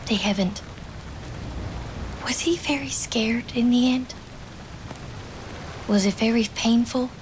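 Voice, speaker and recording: A woman playing a young, grieving wartime nurse in a game drama, soft and wistful, with a Newfoundland accent. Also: a little high, slow, pauses often, background noise. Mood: sorrowful. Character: grieving wartime nurse, sister of a fallen soldier. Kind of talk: dramatic game cutscene storytelling.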